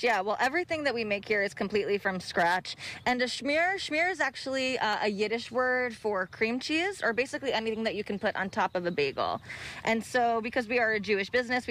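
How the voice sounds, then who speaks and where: A woman's voice: medium pitch, fairly bright tone. A young woman who runs a bagel business, speaking outdoors into a handheld mic during a live TV interview, upbeat as she explains her menu. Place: outdoor park by the ocean. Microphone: handheld reporter mic.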